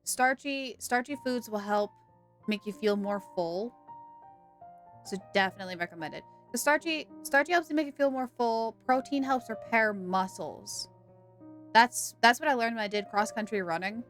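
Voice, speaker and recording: A woman's voice: fairly high pitch, moderate pace, a bit bright, faint music behind. A woman live streamer giving casual, chatty commentary on a video game, thinking aloud and repeating herself.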